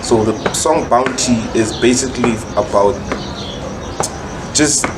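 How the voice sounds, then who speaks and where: A man's voice: mid-range voice, takes it slowly. A man talking as a guest rapper in a casual podcast interview, slangy and full of repeated catchphrases. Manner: slangy, repeats catchphrases like "right on" and "you know". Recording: noisy background behind the voice.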